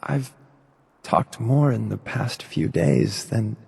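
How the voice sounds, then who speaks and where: A man playing a timid, earnest escaped synthetic human in a video game, hesitant and soft-spoken, with a breathy, creaky voice. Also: fairly low pitch, animated, slow pace, big-room sound, exaggerated voice. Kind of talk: video game character dialogue.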